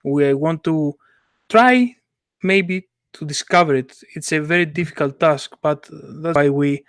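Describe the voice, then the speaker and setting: Man, slightly high voice, non-native English, halting phrasing, podcast co-host, casual discussion, dry close sound. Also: fairly bright tone, no echo. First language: not English.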